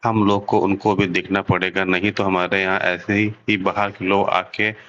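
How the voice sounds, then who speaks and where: Guy doing a cartoon village chief, low voice, calm and preachy, muffled audio w/ some hiss. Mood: calm, earnest. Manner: measured, explanatory, moralizing. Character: village headman, calm, earnest, fair-minded leader. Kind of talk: Hindi children's cartoon dialogue, speech to villagers.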